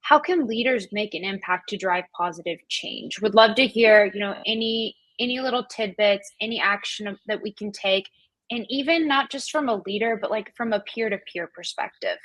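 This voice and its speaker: A woman's voice: mid-range voice, normal speed, a bit bright, dry recording, low-quality recording. A woman podcast host, a native Spanish speaker from Colombia, interviewing in a casual, appreciative and affirming way.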